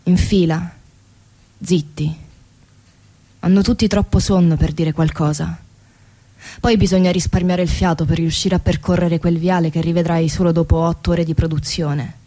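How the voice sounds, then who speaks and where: A woman's voice: lowish voice, mellow, lightly acted. An actress playing a weary woman who works on a car assembly line, narrating a radio theatre monologue in short clipped phrases. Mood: weary, matter-of-fact. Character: weary female car factory assembly-line worker telling her story.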